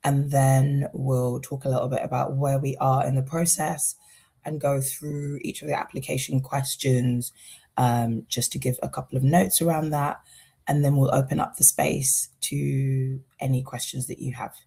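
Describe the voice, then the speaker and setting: A woman from Zambia who leads a fund, calmly introducing an online information session on a video call. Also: rumbling low, moderate pace, vocal fry, slightly rough. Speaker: fund lead and facilitator.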